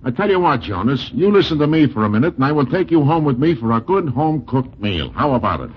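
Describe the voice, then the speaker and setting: Husky, scheming New York fight promoter. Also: male voice, mid-range voice, sing-song, fast. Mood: persuasive. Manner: persuasive, slangy, formal wording. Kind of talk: 1940s radio comedy acting. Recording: dull, band-limited 1940s radio recording.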